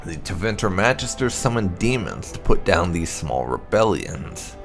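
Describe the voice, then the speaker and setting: A man reads game lore aloud in a low, gravelly voice with plenty of fry. His delivery is measured and storyteller-like, rising and falling in a lively way with emphatic phrasing. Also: faint music behind. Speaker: gaming channel host. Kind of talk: reading game lore aloud as scripted narration.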